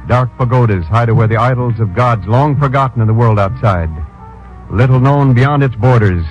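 Um locutor de rádio dos anos 1950 narrando uma introdução dramática. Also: male voice, medium pitch, normal speed. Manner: dramatic, sonorous. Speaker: announcer. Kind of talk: 1950s radio announcing. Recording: dull old radio broadcast with loud music.

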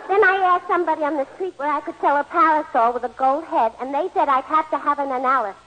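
An actress playing a naive, good-natured gambler's sweetheart in a 1940s radio comedy, guileless, slangy and ungrammatical. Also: female voice, high-pitched, fast talker, close to an everyday voice. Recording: slightly noisy 1940s radio broadcast.

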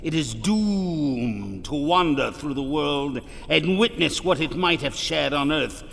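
An actor playing the tormented ghost of a dead writer in a radio drama, solemn, mournful and theatrical, with old-fashioned diction. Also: male voice, fairly high pitch, expressive, takes it slowly, creaky, a bit bright, slight background noise, full character voice. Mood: solemn warning. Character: tormented male ghost of a dead pulp author. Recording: long reverb, music behind.

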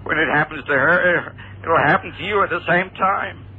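A man's voice: a little high, normal speed, lightly acted. An actor in an old radio drama playing a menacing blackmailer who taunts and mocks as he speaks. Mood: mocking menace. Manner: mocking, taunting. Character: sneering, menacing male blackmailer. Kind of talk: 1960s-70s radio drama acting. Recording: tinny, noisy old phone-like radio sound.

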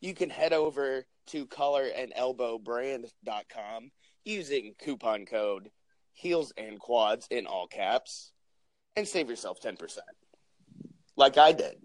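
Hoarse young male co-host, loose and profane, dry close sound. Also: medium pitch, animated, slow pace, dry recording. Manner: joking, profane, rambling plugs. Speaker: podcast co-host. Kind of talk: casual chat.

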